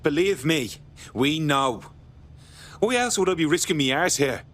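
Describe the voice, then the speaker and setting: Bright-voiced, urgent British operative. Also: male voice, on the high side, lively intonation, a little slow. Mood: persuasive. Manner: urgent, persuasive. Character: urgent, pleading male resistance operative. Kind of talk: video game cutscene acting.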